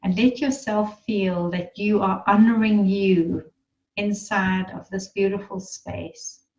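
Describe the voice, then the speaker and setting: Slow, distant-sounding woman guiding meditation. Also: mid-range voice, fairly even intonation, highs cut off. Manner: soothing, unhurried, gentle instruction with pauses. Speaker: meditation guide leading a group. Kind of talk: guided meditation.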